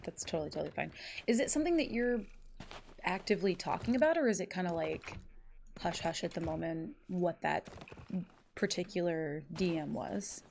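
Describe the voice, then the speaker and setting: A woman's voice: lowish voice, vocal fry, darkish tone, a little hiss or hum. Stuffy-voiced woman streamer, rambling chat. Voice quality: nasal, congested. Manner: rambling, lots of fillers and swearing. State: stuffy nose. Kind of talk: casual live-stream chat, reading chat aloud.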